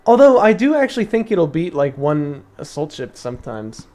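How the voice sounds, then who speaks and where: A young man with a somewhat high voice talks through a strategy session on a live stream. He thinks aloud, fills gaps with "like" and "um", and laughs often. His voice has turned slightly raspy from long talking. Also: darkish tone. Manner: chatty, thinks aloud with many fillers and laughs. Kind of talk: casual live-stream commentary.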